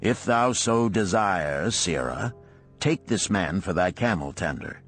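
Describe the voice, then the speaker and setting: A man plays a desert chieftain in an old parable, curt and lordly. The voice is low and measured, with faint music underneath. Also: animated, a bit bright, exaggerated voice. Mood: curt, appraising. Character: curt, commanding desert master of slaves.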